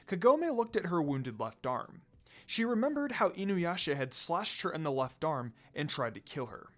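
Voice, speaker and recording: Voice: mid-range voice, sing-song, moderate pace. A narrator reading a fan fiction story aloud in a dramatic storytelling style.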